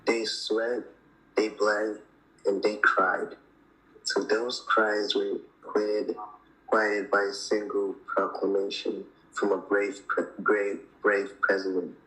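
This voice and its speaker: A man reads a children's story aloud. His voice is on the low side and moves very little in pitch, giving an even, level delivery. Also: slow, low-quality recording. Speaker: reader of a children's picture book.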